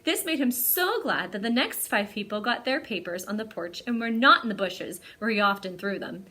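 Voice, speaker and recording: A woman hosting a library storytime, speaking to young children in an animated way as she presents and reads a picture book aloud. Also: mid-range voice, normal speed.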